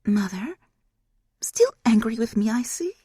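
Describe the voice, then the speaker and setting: A woman's voice: mid-range voice, animated, unhurried, a little mellow, slightly rough, no room sound, studio quality, pitched above the performer's own voice. A woman voicing a bitter young witch speaking to her estranged mother. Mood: tense.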